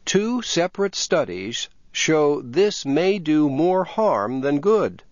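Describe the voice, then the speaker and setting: A man reading scripted narration for English learners with careful, measured enunciation. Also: on the high side, very animated, dry recording. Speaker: reader of English learning material. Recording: dull, lo-fi compressed audio.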